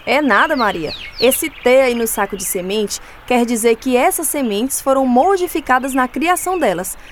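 A woman's voice: high voice, animated, moderate pace, a little hiss or hum. A woman playing an outspoken, savvy farmer in a radio drama, with a Northeastern Brazilian accent and a folksy, emphatic delivery. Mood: explaining, firm. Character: savvy, outspoken rural farmer woman named Rosa, explaining firmly.

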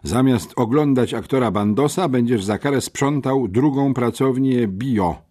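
A man's voice: medium pitch, wildly swooping pitch, unhurried, darkish tone, slightly rough, full character voice. A man voicing a stern, domineering school headmistress in an audiobook, scolding with mocking sarcasm.

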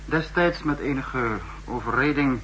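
An actor playing an authoritative state leader with curt, formal commands in a 1960s radio drama. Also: male voice, mid-range voice, measured pace, close to an everyday voice. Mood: commanding, formal. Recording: noisy 1960s radio play recording.

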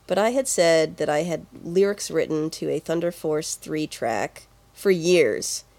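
A woman's voice: deep voice, expressive, unhurried, gravelly, a little mellow. A woman co-hosting a podcast, chatting casually and informally about video game music. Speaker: podcast co-host.

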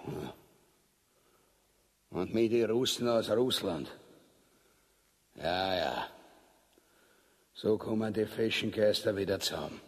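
An actor playing a grotesque, pompous, cowardly and bullying tyrant in an Austrian-dialect radio play, swinging between muttering and bellowing with a husky voice. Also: male voice, on the low side, slow, long pauses. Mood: grumbling. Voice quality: gravelly, husky. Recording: long reverberant hall echo.

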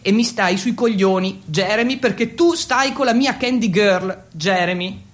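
An actor playing an excitable, awkward Italian teenage exchange student, telling his story in an intense, confessional radio monologue. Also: high-pitched, a little slow. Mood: confiding. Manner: intense, confessional, switching between Italian and English. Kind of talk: dramatic first-person monologue for radio.

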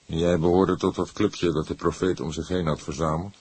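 A man playing a calm older police detective who questions in a measured, probing way in an audiobook. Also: low voice, breathy edge. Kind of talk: acted audiobook dialogue.

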